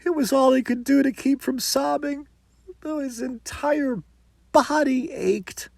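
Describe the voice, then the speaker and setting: A man's voice: very high-pitched, fairly even intonation, a little slow, gravelly, dry recording. A man reading a folktale as dramatic narration, with a theatrical, suspenseful and emphatic delivery.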